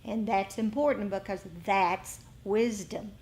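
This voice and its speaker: A woman giving an informal dharma lesson to a meditation group, pausing between short phrases. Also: mid-range voice, fairly even intonation, a little mellow. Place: indoor group meeting.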